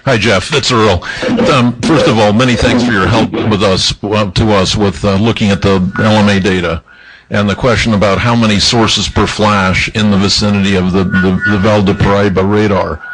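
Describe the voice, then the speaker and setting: A man with a somewhat low voice speaks as an audience questioner at a technical talk. His delivery is halting, with phrases repeated as he works out the question. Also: steady tone, background noise. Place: indoor conference room.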